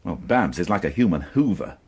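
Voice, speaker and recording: Dry irreverent police inspector guy, radio drama, bit raspy, pitch all over the place. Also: sing-song, fairly quick, darkish tone, exaggerated voice. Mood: worried. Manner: dry, sardonic. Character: wry, devoted male police inspector, irreverent and worried. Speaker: actor. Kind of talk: BBC radio drama acting.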